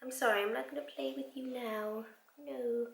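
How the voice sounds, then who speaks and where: Slightly raspy young woman vlogging. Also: fairly high pitch, steady tone, normal speed, echoey room. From Iceland. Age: 20s. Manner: chatty, many fillers and "like". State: recovering from a cold. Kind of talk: casual chat to camera. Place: home room.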